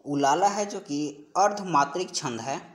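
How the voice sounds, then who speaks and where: A man's voice: on the high side, a little slow. A man giving an instructional lesson as an exam-prep teacher, working through questions and repeating key points and answers. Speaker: teacher of Hindi for exam preparation.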